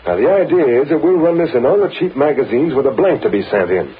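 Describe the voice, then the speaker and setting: A man playing a slick, brisk salesman running a racket in a 1930s radio drama, with punchy patter. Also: medium pitch, animated, natural voice. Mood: scheming. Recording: noisy, dull 1930s radio recording.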